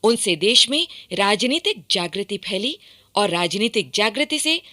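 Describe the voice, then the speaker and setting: A woman delivering formal, emphatic scripted narration for a historical radio documentary, in measured phrases. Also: medium pitch, big pitch swings, pushed and bright.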